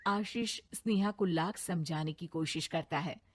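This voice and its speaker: A woman's voice: on the low side, a little slow, loud music behind the voice. A woman narrating a scripted cartoon story in a storytelling style.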